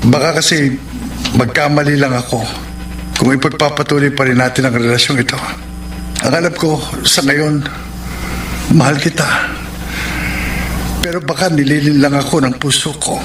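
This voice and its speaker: An actor playing an apologetic young man, torn and afraid to commit, speaking haltingly and earnestly in a radio romance drama. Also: a little high, fairly even intonation, natural voice. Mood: torn, regretful. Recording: roomy, distant voice with heavy background noise.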